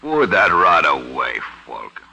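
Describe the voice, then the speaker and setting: An actor playing a surly, menacing tough gangster with a husky voice, in 1940s radio drama style. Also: male voice, extremely low pitch, huge pitch swings, slow pace, pushed and bright, full character voice, low-quality recording. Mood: defiant. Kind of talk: 1940s radio detective acting.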